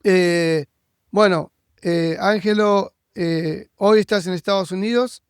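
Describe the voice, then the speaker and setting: A man's voice: high voice, slow, clean tone, no echo, studio quality. A man hosting a casual podcast interview. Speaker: podcast host interviewing a guest.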